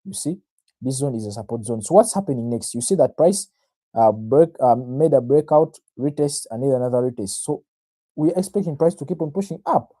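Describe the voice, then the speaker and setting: Ugandan man, Luganda native, very mellow dark tone, mid pitch, dry sound; confident, informal trading-stream explainer who repeats himself. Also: animated, a little fast, no room sound. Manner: self-assured, addresses viewers directly, repeats phrases, checks in with "right?". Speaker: trader, livestream host. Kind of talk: informal live market analysis, explaining to viewers.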